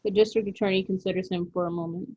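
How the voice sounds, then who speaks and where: A woman's voice: medium pitch, steady tone, rapid-fire, darkish tone, no echo. A woman reading screenplay stage directions as scripted narration, on a dull, dry recording.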